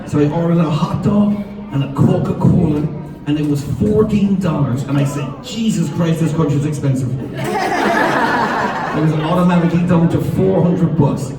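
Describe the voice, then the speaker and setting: A man's voice: on the high side, moderate pace, music in the background. A man with a Cork Irish accent, telling a jokey story on stage and laughing as he talks. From Ireland. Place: large hall with audience. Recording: echoey hall, far off, crowd.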